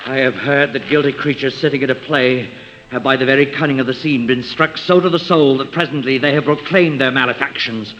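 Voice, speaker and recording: An actor playing a nervous, guilt-ridden gentleman under suspicion, theatrical in the 1950s radio drama manner. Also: medium pitch, brisk, lightly acted. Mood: theatrical, anguished. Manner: hesitant, then theatrically declaiming. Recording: dull, noisy vintage radio recording.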